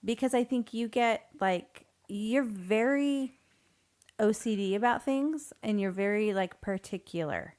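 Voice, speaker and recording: A woman with a medium-pitched voice co-hosts a podcast. She speaks casually and conversationally, as in everyday chat at home. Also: a little slow, a little mellow. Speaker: podcast co-host.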